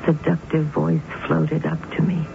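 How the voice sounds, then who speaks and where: An actress playing an anxious woman who narrates her own tense story in an old radio drama, hushed and brooding. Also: low-pitched, level tone, unhurried, creaky, exaggerated voice. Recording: tinny 1970s radio broadcast with loud music.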